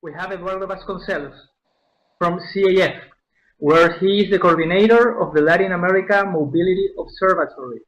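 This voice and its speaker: A man introducing a speaker as the host of an online webinar, in a prepared, orderly way. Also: sits high. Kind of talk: scripted event introduction. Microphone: online webinar connection. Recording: dull, muffled webinar audio.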